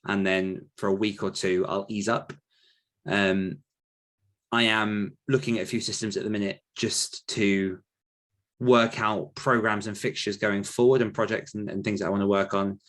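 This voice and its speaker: Give a man with a fairly low voice in casual podcast talk. He works through his answers aloud, circling around a point in a rambling, conversational way as a host and coach. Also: little pitch movement, normal speed, a bit bright.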